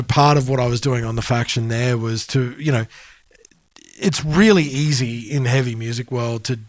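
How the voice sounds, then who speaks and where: A man's voice: medium pitch, dry recording. Laid-back Australian man, dry close sound. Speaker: music podcast co-host. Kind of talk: casual chat.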